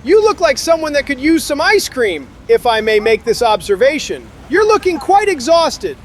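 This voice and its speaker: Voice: sits high, sing-song, moderate pace, slight background noise. A performer voicing a cheery, overly polite ice cream vendor in an audio drama, with sing-song sales patter. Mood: chirpy, polite. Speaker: street ice cream seller.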